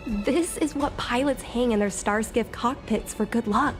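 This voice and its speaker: An earnest, wistful young girl from a video game, voiced by a woman. The voice sits on the high side and is carefully worded. Game music plays softly behind it. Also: unhurried, natural voice. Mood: thoughtful. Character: earnest young girl, a pilot's daughter longing to fly.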